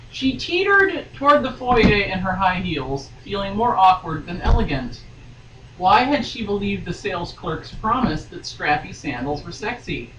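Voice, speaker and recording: A woman reading a story aloud in a wry, theatrical way, breaking off for mocking asides. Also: mid-range voice. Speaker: podcast guest reading a novel aloud. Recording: roomy, distant mic, faint noise.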